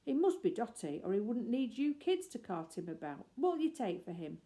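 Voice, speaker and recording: A rough fairground woman, as a female narrator plays her, slangy and wheedling, pitched a little up. She haggles excitably in working-class storybook style. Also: moderate pace. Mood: excited, bargaining.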